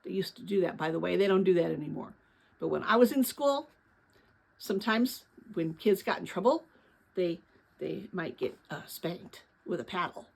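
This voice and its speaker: A woman reading a children's novel aloud as host, with expressive, careful phrasing. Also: on the low side, moderate pace, vocal fry, husky. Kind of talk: dramatic storybook read-aloud.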